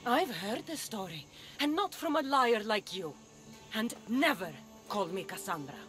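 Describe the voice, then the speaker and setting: A cold, scornful young Greek warrior woman in a dramatic game scene. Her voice sits fairly high and swings widely with contempt and threat, over prominent music. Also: sing-song, measured pace, fairly bright tone. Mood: cold menace. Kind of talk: video game dramatic acting.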